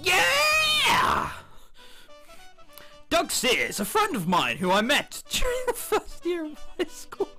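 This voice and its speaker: A man doing let's play commentary, reading visual novel text aloud in an excitable, giggly way with exaggerated reactions. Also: very high voice, slow. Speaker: video game commentator. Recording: background music under the voice. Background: game music.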